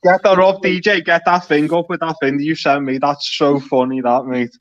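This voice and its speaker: A man hosting a live stream, crude and excitable, mocking as he talks. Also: on the high side, normal speed, dry recording, muffled recording. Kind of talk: casual banter on a live stream.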